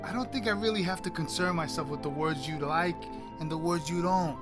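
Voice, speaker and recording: A man's voice: a little high, brisk, loud music behind the voice, natural voice. A man acting a bitter, foul-mouthed husband in an audio drama, sarcastic and sweary. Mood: bitter, mocking.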